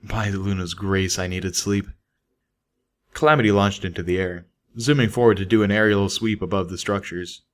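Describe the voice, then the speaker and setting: A man's voice: low voice, fairly even intonation, normal speed. A man reading a scripted first-person story as an audiobook narrator. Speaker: audiobook reader.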